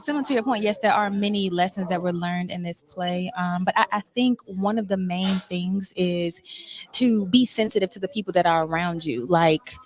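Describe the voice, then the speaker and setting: Young woman, casual mid-pitched interview guest. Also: moderate pace. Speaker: stage actor interview guest. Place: on location backstage after a stage play.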